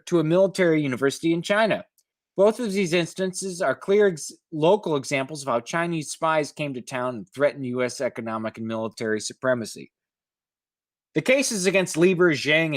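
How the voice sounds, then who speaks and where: A man hosts a news reading with his own commentary. His voice sits a little high, recorded dry and close. He moves between reading prose and skeptical, opinionated asides. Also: no echo. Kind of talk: reading articles aloud with sardonic ad-libbed asides.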